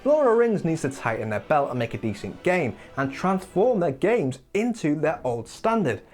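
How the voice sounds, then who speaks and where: A man's voice: on the high side, moderate pace. A man hosting a gaming countdown in casual commentary, rambling and opinionated, his voice wearing thin. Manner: opinionated, rambling, lively asides. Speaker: gaming video host. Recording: faint music behind.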